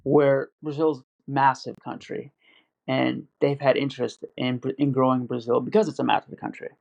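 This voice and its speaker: A man's voice: medium pitch, little pitch movement, normal speed, creaky, darkish tone. A man in casual podcast chat, rambling with lots of fillers and restarts. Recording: dull lo-fi audio.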